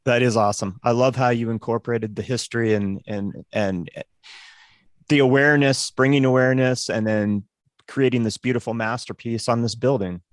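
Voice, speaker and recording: A man's voice: mid-range voice, steady tone, moderate pace, dry recording, studio quality. A man co-hosting a podcast, asking his guest questions with admiration. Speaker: podcast host. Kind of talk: casual interview questions.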